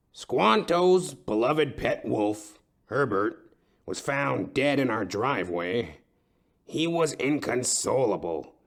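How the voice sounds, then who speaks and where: An actor playing a melodramatic, lovesick older timber tycoon with a pompous, theatrical way of talking in a comedic detective audio play. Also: male voice, medium pitch, vocal fry, fairly bright tone, full character voice. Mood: grave, mournful. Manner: florid, theatrical, pompous storytelling.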